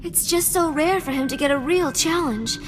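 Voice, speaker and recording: A woman's voice: sits high, moderate pace, close to an everyday voice. An actress playing a devoted, sweet and flirty female companion in a video game, with a cutesy, coaxing manner. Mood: worried. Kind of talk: video game cutscene acting. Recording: long reverb, music underneath.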